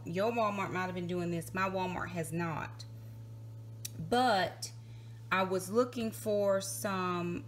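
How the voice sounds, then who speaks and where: A woman's voice: mid-range voice, a little hiss or hum. A woman, chatting casually as she narrates a home video, with fillers and self-corrections. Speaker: vlogger. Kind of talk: casual grocery haul narration. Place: home kitchen.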